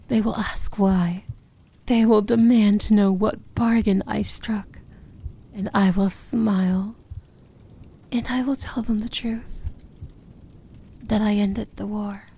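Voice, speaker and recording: A woman playing a powerful, possessive princess of evil in a romantic fantasy ASMR roleplay, breathy and often whispering, commanding yet tender. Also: medium pitch, warm tone. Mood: soothing, loving.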